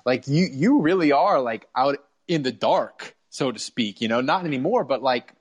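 A man hosting a sports podcast, telling stories as an excitable, casual fan who swears freely. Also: mid-range voice, normal speed, breathy edge. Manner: profane, excitable storytelling.

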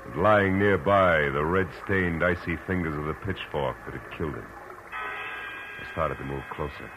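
A man's voice: rumbling low, normal speed, fairly bright tone. An American man playing a hard-boiled Los Angeles private detective in a radio drama, with clipped, commanding, world-weary speech. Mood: terse, wry. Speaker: lead actor and first-person narrator. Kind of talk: 1940s radio detective acting and narration. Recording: noisy old-time radio recording.

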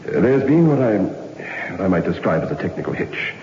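A brisk prison governor with a clipped, formal manner. The recording is dull and lo-fi old radio, with a faint hiss under it. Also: male voice, deep voice, big pitch swings, darkish tone, reverberant. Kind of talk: 1960s radio drama acting.

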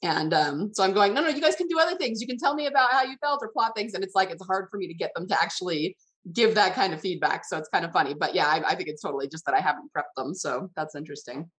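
A woman's voice: on the low side, expressive, quick pace, a bit bright, poor audio. A woman hosting a casual interview in a chatty, affirming, conversational way. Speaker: interview host, author.

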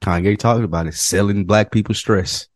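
A man's voice: lowish voice, normal speed, no room sound. An American man co-hosting a podcast in casual, informal conversation. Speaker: podcast co-host.

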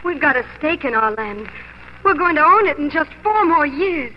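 A woman's voice: sits high, expressive, normal speed. A young woman acting a determined, proud pioneer wife in 1940s radio drama style. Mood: impassioned. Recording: muffled old radio broadcast, faint noise.

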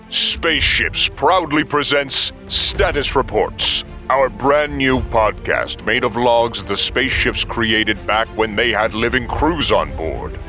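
A man's voice: lowish voice, bright, forceful tone. A man reading a scripted podcast promo with a dry, wry delivery. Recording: music bed under the voice.

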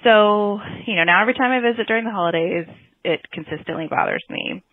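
A woman's voice: mid-range voice, fast, no echo. Woman leaving a tinny phone voicemail. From US Northwest. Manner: hesitant, many ums and you-knows. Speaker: voicemail caller. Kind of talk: recorded voicemail story.

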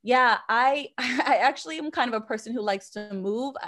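A woman's voice: fairly high pitch, normal speed, fairly bright tone. A woman with an American accent speaking as an interview guest, telling personal stories conversationally and repeating phrases for emphasis. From born in Jamaica, grew up in Boston, USA. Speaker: podcast guest, anthropologist and professor.

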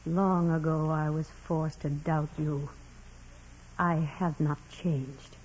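An actress playing a severe, bitter senior nun in 1940s radio drama style, stern, clipped and intense. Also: female voice, low voice, steady tone, slow, darkish tone, a little hiss or hum. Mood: cold, accusing. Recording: dull, hazy vintage radio recording.

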